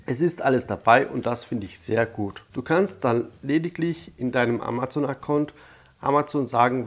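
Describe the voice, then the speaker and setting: A man with a cold walks the viewer through an online tutorial in a friendly, direct way. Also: mid-range voice. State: has a cold. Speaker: YouTube channel host. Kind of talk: casual step-by-step tutorial.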